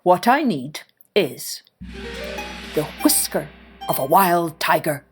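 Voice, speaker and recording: A woman voicing a wise old male hermit in a storybook tale, speaking in a deliberate, mysterious way. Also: fairly low pitch, very animated, music in the background, pitched below the performer's own voice, heavily acted. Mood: cryptic, measured.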